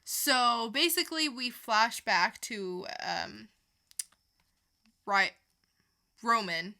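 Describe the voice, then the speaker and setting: Woman podcast host chatting about comics, bright clear-cut voice, slow relaxed pace, swears a bit. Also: a little high. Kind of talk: casual comic book review chat.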